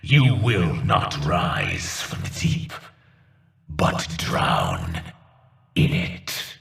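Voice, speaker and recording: A man voicing a menacing, sadistically playful monster villain in a video game, with a raspy, theatrical and condescending delivery. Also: very deep voice, wildly swooping pitch, fairly bright tone, heavily acted. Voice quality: gravelly, raspy. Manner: slow, theatrical, mockingly condescending.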